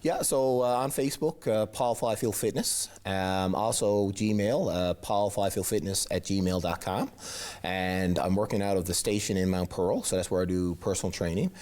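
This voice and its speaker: A man's voice: sits low, normal speed, darkish tone. A man, a personal trainer interviewed in a TV studio, giving encouraging advice with lots of fillers.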